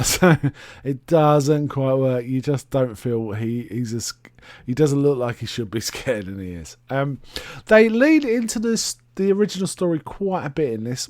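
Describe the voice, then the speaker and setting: A man hosting a casual solo review, talking in a halting, rambling way and often correcting himself. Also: mid-range voice, a little mellow, no room sound, clean studio sound. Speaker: host reviewing film and television.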